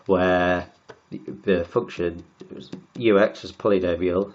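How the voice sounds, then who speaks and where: A man with a cold, croaky and sniffly, working slowly through textbook maths and often repeating words as he writes. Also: low-pitched, steady tone, highs cut off. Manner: halting, repeats words while writing. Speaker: maths tutor.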